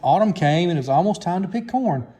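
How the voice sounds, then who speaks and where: A man's voice: sits high, expressive, fast, mellow. A man reading a children's story aloud as a scripted narration. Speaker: storybook reader.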